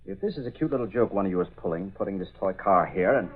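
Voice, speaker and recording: Brusque, fast-talking crook boss. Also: male voice, sits low, expressive, noisy background. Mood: scheming, commanding. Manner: brusque, commanding. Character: domineering, impatient crook boss, hard and contemptuous. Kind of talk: 1930s radio drama acting. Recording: muffled old-time radio recording.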